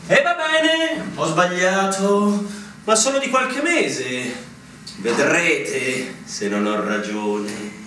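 An actor playing an eager, stubborn soldier with an emphatic, theatrical delivery. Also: male voice, high voice, lively intonation, very slow, full character voice. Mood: insistent. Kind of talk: Italian stage drama acting. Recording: very echoey distant stage recording, noisy.

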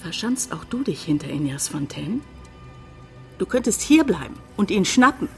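Bitter, intense hotel owner. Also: female voice, on the low side, big pitch swings, moderate pace, soft background music. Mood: pleading, angry. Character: bitter, pleading female village hotel owner. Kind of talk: German radio drama acting.

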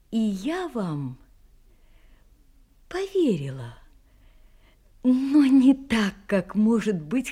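An actress playing a serious, frank young woman in love in an audio drama, speaking in a halting, earnest, sad manner that sinks to a near-whisper. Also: a little high, warm tone, husky, heavily acted. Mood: frank, sorrowful. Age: young adult.

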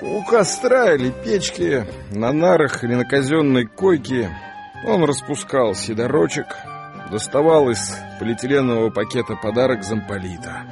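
A man's voice: mid-range voice, expressive, moderate pace. A man narrating a story for a radio play in a dramatic, wry, colloquial way. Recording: music bed behind the voice.

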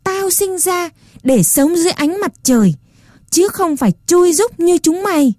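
A woman voicing an ugly little wooden doll boy who pleads and sobs in a timid, soft voice. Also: normal speed, no room sound, clean studio sound, natural voice. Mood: defiant. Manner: pleading, sobbing, then shrill and defiant.

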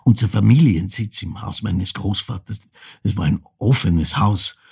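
An older actor with an Austrian accent playing a reflective ageing man who recounts his wartime childhood in a halting, hushed literary monologue. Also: fairly low pitch, full character voice. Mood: hushed remembering. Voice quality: gravelly, husky. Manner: halting, deliberate, hushed, broken phrasing. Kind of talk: literary monologue, dramatic storytelling.

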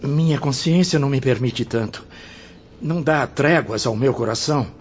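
A man's voice: mid-range voice, moderate pace, a little hiss or hum, natural voice. An actor with a Brazilian accent playing the anguished, guilt-ridden spirit of a man in a radio drama. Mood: anguished remorse. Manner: anguished, confessional, melodramatic. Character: tormented spirit of a guilty man who killed himself. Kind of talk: radio drama acting in Portuguese. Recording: dull audio under loud music.

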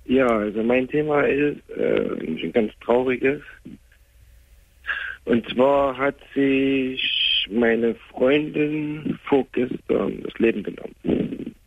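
A man in his twenties calling a radio phone-in, subdued and grieving, speaking haltingly with many restarts. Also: medium pitch, gravelly, no room sound. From Germany. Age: 20s. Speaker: caller. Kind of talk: call-in radio conversation. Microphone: telephone. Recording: tinny bad phone line.

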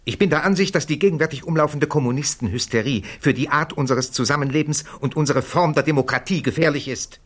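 An actor playing a principled, outspoken male witness in a radio play, speaking firmly, deliberately and formally. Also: fairly high pitch, natural voice. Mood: indignant. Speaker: witness at a hearing. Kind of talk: German radio play acting.

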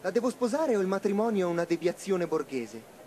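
A man's voice: high-pitched, big pitch swings, brisk, warm tone. An actor playing an eager working-class man speaking up at a political meeting in a radio drama. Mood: eager, outspoken.

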